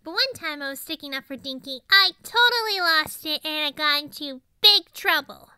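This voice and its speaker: A woman's voice: sits high, expressive, moderate pace, bright, forceful tone, no echo, clean studio sound, heavily acted. A woman voicing a zany, boastful ten-year-old girl pony in a cartoon monologue, chatty and rambling with melodramatic emphasis. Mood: earnest, proud. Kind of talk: improvised cartoon character monologue.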